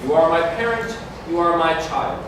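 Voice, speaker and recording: A man's voice: a little high, moderate pace, natural voice. A man playing a grand ceremonial officiant at a mystical wedding, proclaiming incantatory verse in a staged reading. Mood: solemn. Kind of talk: staged reading of a poetic masque. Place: community hall, live staged reading. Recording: very echoey hall from far off, noisy.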